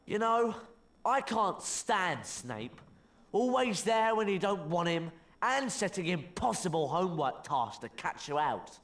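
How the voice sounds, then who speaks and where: Excitable schoolboy wizard, high voice, very animated, grumbling and complaining, game cutscene. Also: male voice, a little slow, exaggerated voice. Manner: complaining, exclamatory. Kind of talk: video game cutscene acting.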